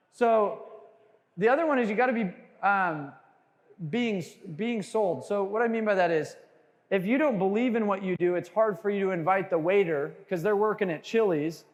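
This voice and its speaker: A man giving a lively sales training talk to a live audience, joking with the crowd and asking for hands. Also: high voice. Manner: animated, jokey, quizzes the crowd. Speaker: sales trainer speaking to a room. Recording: long hall reverb.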